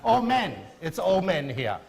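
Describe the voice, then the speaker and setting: A man's voice: high voice, big pitch swings, unhurried, creaky. A man hosting a daytime TV show on location at a barbershop, chatty and upbeat with a joking streak. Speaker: TV talk show host. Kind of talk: live TV interview.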